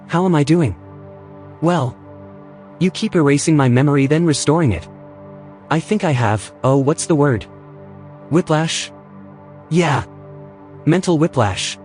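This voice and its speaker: A fussy, anxious male AI played by a man speaks in a fairly high register with music underneath. The delivery is sardonic and put-upon, in the manner of sci-fi audio drama. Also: unhurried, long pauses, a little mellow, lightly acted. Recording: music behind the voice.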